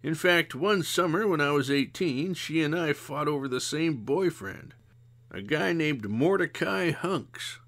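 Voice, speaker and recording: A man voicing a calm, shrewd older woman who talks in a dry, knowing, gently scolding way. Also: on the high side, a little slow, voice pushed up. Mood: wry.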